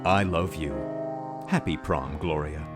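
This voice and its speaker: A man's voice: extremely low pitch, a little slow, over loud music. A man playing a suave teenage prom boy in a comedic audio drama, with a dramatic storytelling manner. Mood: tender.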